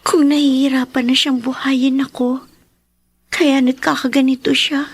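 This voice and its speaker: A woman with a Filipino accent acting a devoted, dutiful teenage daughter in a Tagalog radio drama, respectful and soft-hearted in manner. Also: high voice, little pitch movement, normal speed, husky, no echo. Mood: sad resolve.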